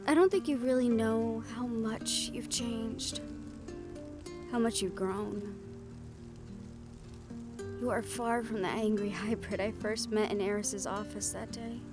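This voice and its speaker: A high, girlish-leaning young siren-banshee played by a woman speaks intimately, as in a roleplay audio drama. She is affectionate, wry and playfully teasing, telling things conversationally. Guitar music plays behind her. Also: fairly even intonation, slow pace, long pauses. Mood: tender, sincere. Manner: soft-hearted, teasing, conversational storytelling.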